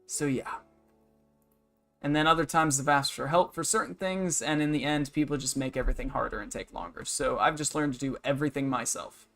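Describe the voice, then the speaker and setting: A man's voice: medium pitch, fairly quick, gravelly, fairly bright tone, faint music behind. A man in his twenties chatting casually as a livestreamer, rambling and venting in a blunt, matter-of-fact way. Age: 20s. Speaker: game livestreamer. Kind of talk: casual rambling stream chat, venting about work.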